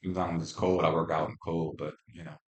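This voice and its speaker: A man with a fairly low voice answers as a patient in a roomy, echoing space. His replies are short, conversational and matter-of-fact. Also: level tone, fast. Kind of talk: brief conversational answers to questions.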